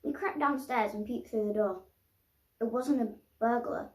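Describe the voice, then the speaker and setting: A woman reading a children's picture book aloud expressively, pausing to explain the pictures. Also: a little high. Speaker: storybook reader. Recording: roomy, echoing sound.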